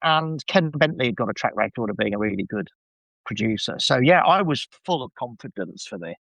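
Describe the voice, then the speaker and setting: A man's voice: mid-range voice, expressive, a little fast, vocal fry, a bit bright, no echo, low-quality recording. A man chatting casually as a podcast guest, giving an enthusiastic fan review full of rambling asides.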